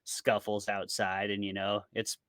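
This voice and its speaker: A man's voice: on the low side, monotone, measured pace, fairly bright tone, clean tone, dry recording, studio quality. A man talking informally as an interview guest, rambling casually through examples. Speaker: interview guest, author and podcaster. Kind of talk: casual chat about fiction.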